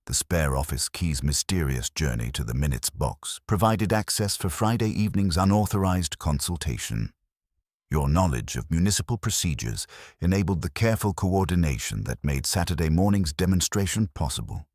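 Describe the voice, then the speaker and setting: A man narrating a detective story in a formal, measured, scripted audiobook style. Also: sits low, animated, a little mellow, no room sound, clean studio sound. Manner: formal, measured, wordy delivery.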